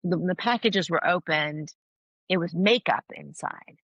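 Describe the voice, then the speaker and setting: A woman's voice: fairly low pitch, unhurried, fairly bright tone, dry recording, muffled recording. A middle-aged woman whose first language is Farsi, a guest giving earnest, thoughtful interview answers with frequent restarts. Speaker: guest, anthropologist and author.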